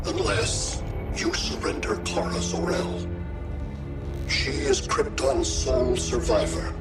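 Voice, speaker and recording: Echoing, coldly arrogant alien conqueror. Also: male voice, medium pitch. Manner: condescending, formal, detached. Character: cold, arrogant male alien conqueror with machine intellect. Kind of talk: video game cutscene acting. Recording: echoing, distant, over loud music.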